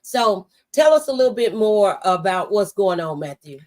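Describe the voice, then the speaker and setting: A woman's voice: mid-range voice, expressive, moderate pace, a little mellow. A woman hosting a faith-based radio talk show, speaking in an encouraging, preacherly manner.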